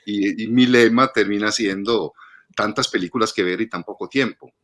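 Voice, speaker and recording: A man's voice: on the low side, moderate pace, fairly bright tone. A man in his fifties from northern Italy, speaking reflectively in a casual interview and often repeating words as he thinks. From Padua region, northern Italy. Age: 50s. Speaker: guest, film critic and teacher.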